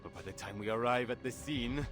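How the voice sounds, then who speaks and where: An actor playing a weary, exasperated police officer in a game, formal and dryly sardonic. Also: male voice, medium pitch, a little fast, a bit bright, natural voice. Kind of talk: dramatic game voice acting. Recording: music behind the voice. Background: music.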